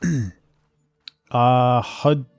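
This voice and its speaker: A man hosting a game livestream, rambling casually and haltingly as he thinks aloud and replies to viewers. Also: medium pitch, steady tone, clear, resonant tone, no room sound. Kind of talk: casual chat while playing, answering viewers.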